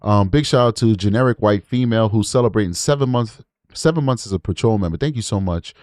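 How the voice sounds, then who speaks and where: A man's voice: lowish voice, quick pace, a little mellow, no echo, clean studio sound. A man hosting a true crime livestream, casually commenting on audio clips and repeating words for emphasis.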